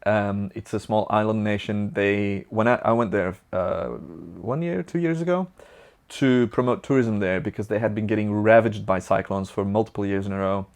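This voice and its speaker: Young man, flemish accent, low voice, chatty vlog q&a, upbeat and rambling. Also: normal speed. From Belgium. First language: Dutch. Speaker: vlogger. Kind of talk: casual Q&A answering viewer questions.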